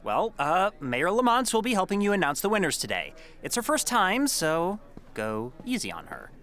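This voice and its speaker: A man's voice: high-pitched, huge pitch swings, normal speed, a bit bright, heavily acted. A man playing an officious mayor's aide, dry, wordy and politely bossy, in a comedy audio drama.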